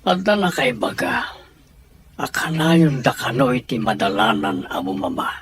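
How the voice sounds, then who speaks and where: A man's voice: mid-range voice, very animated, unhurried, a bit bright. Urgent, helpful man voiced by an actor, insistent delivery, audio drama with faint background noise. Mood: brisk. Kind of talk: Ilocano radio-style audio drama acting.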